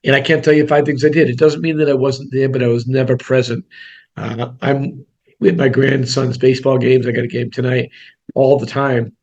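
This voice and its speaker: A man's voice: mid-range voice, little pitch movement, moderate pace, vocal fry, darkish tone, muffled recording. A man in his sixties, a real estate coach, giving a blunt, conversational webinar talk with self-deprecating asides. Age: 60s. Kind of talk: webinar presentation.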